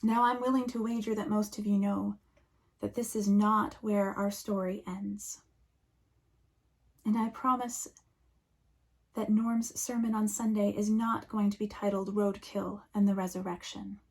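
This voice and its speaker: A woman minister giving a reflective sermon, speaking slowly and softly, often dropping to a near whisper. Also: medium pitch, little pitch movement.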